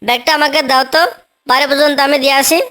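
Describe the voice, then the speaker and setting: A woman voicing a kind, determined little tailorbird in a cartoon story, brisk and eager to help. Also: high voice, steady tone, fairly bright tone. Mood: caring.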